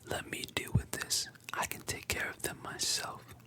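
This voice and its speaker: A storyteller voicing a composed adult male pirate first mate in a soft whisper. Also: normal speed, lightly acted. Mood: cool.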